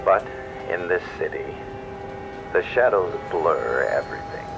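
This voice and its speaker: A man playing a brooding, philosophical late-night radio host, solemn and measured, pausing often. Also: sits low, lively intonation, creaky, lo-fi sound, full character voice. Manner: slow, solemn, measured with long pauses. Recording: loud music under the voice.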